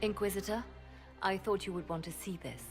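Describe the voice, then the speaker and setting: An actress voicing a composed woman spymaster who briefs her leader in measured, precise sentences in a game cutscene. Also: mid-range voice, music in the background, close to an everyday voice. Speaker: advisor. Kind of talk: video game cutscene dialogue.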